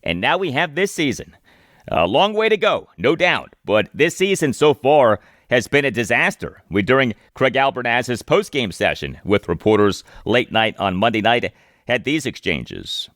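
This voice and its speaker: A man's voice: mid-range voice, very animated, normal speed, a bit bright, dry recording. Man hosting sports podcast, dry close sound. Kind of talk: scripted sports show narration.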